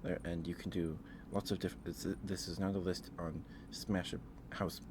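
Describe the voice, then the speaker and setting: A man hosting an ASMR livestream, chatting casually in a halting, rambling way with pauses and repetitions. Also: deep voice, flat delivery, background noise. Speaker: livestream host.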